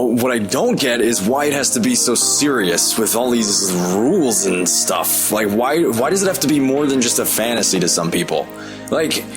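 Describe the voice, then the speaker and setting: A man narrating a comedic video essay in a sarcastic, profane, rambling way, full of asides. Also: mid-range voice. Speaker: online video commentator. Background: background music.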